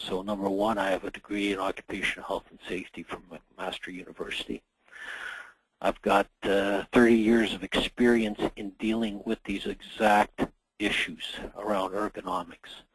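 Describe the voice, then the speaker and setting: A middle-aged man, hoarse from a cold, presenting a workplace safety webinar in a measured way with frequent pauses. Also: on the low side, dry recording, poor audio. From Canada. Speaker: association general manager presenting a webinar.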